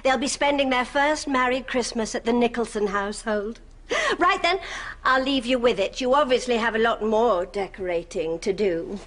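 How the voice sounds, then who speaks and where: Smug sitcom in-law: middle-aged woman, prim and polite, higher-pitched than average, talking down. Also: moderate pace, fairly bright tone. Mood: smugly cheerful. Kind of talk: studio sitcom acting.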